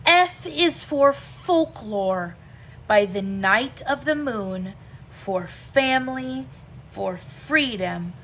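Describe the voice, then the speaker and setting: A woman reading rhyming verse aloud for children in an expressive way, with deliberate, emphatic phrasing and pauses between phrases. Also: fairly high pitch, a little hiss or hum. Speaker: teacher reading a picture book aloud.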